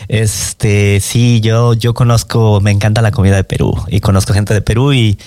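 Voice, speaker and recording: A man's voice: on the low side, flat delivery, normal speed, a little mellow, no echo. A man hosting a radio talk show, chatty and upbeat, with lots of fillers. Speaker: radio host. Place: radio studio. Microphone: studio microphone.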